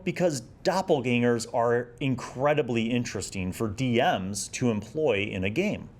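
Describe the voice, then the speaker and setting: Man, lowish voice, casual review host, enthusiastic, rambling delivery. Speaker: host of a gaming retrospective show. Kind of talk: casual magazine review with read-aloud passages.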